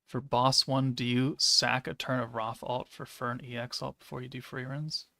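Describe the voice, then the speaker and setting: Gravelly, bright-voiced laid-back male streamer. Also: medium pitch, moderate pace. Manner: monotone, laid-back, deadpan. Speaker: gaming streamer. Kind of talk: casual live-stream chat, reading chat aloud.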